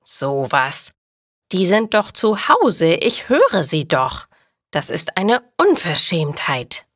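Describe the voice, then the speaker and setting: A woman's voice: fairly low pitch, sing-song, takes it slowly, a bit bright, no echo, clean studio sound, heavily acted. A woman voicing a fussy older female neighbour in a story, indignant, scolding and self-righteous.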